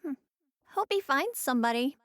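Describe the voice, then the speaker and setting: Earnest young female fighter for an anime game; high, lively, sweet and wondering. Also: moderate pace, no echo, studio quality. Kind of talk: scripted English anime game voice acting.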